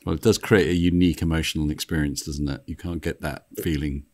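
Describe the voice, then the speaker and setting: A man's voice: very deep voice, steady tone, quick pace, darkish tone. A man co-hosting a podcast interview, friendly and teasing in casual chat. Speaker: podcast co-host.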